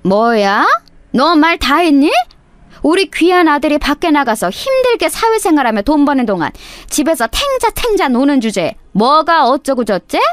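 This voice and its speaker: A woman's voice: high-pitched, a bit bright, no room sound. A woman voicing a haughty, scornful older mother-in-law with a condescending, accusing manner in a dramatized story. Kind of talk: dramatized radio story dialogue.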